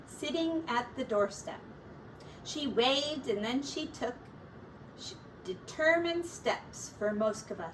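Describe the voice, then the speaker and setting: High-voiced woman slowly reading a storybook aloud. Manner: expressive, deliberate storytelling for small children. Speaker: teacher reading aloud to young children. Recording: distant mic, faint background noise.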